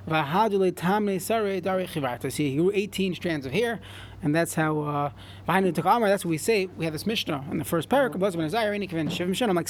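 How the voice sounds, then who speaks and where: Man with a high voice, talmud lesson, faint room ambience. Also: slight background noise. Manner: reads in chant then translates, frequent restarts. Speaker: Talmud teacher. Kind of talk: Talmud class, reading and translating.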